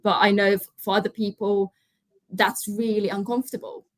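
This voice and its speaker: A woman's voice: mid-range voice, flat delivery, moderate pace, dry recording. A young woman from Finland, a podcast guest talking in a casual, earnest way, often restarting her sentences. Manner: earnest, many restarts and "you know". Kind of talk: casual interview chat.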